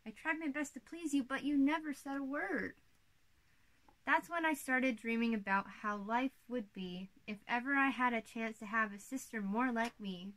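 A woman's voice: fairly high pitch, moderate pace, fairly bright tone, close to an everyday voice, rough recording. A young woman playing an exuberant little-sister princess in a children's storybook read-aloud, playful and theatrical. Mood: wistful. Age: young adult.

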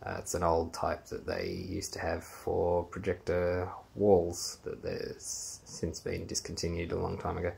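Slow, gravelly man. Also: sits low, monotone, darkish tone. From Australia. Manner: unhurried, halting with many fillers. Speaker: home theater owner giving a room tour. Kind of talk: casual walkthrough narration. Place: small basement room, indoors. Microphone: handheld phone camera, filming while walking.

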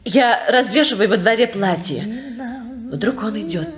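A woman's voice: medium pitch, expressive, unhurried, a crowd in the background, lightly acted. An actress playing a timid, meek young stepmother who answers hesitantly, in a 1950s radio drama.

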